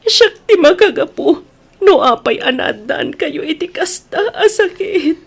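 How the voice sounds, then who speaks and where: A woman's voice: high voice, animated, a little slow, gravelly, full character voice. A woman playing a loving, anguished mother in a radio drama, with a plaintive, emotional delivery. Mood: sorrowful, tender. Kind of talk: tearful radio drama acting. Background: music.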